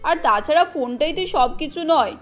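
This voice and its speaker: A woman voicing a gentle, concerned middle-aged mother-in-law who gives careful advice in a Bengali cartoon. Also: sits high, expressive, moderate pace, soft background music. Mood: worried, advising.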